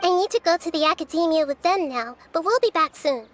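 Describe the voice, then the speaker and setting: A woman voicing a small, wise, gentle goddess who speaks in a measured, formal way in an anime game. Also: extremely high pitch, animated. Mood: calm, businesslike. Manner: measured, soft-spoken, formal. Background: background music.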